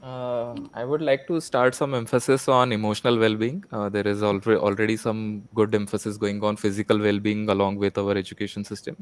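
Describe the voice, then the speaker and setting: Male panel guest, lowish flat intonation, thoughtful and unscripted. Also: brisk, few pauses, clear, resonant tone, no room sound.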